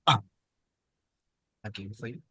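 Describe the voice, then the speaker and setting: A man hosting a quiz, reading questions out in a measured, precise, polite way. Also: pushed and bright, dry recording. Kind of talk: formal quiz question reading over an online call.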